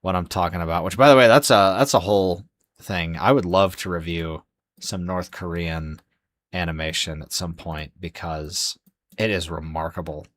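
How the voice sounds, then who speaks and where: Sleepy, hoarse low-voiced man streaming. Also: steady tone, normal speed, fairly bright tone, no room sound. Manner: rambling, many fillers and restarts. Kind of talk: casual live-stream chat.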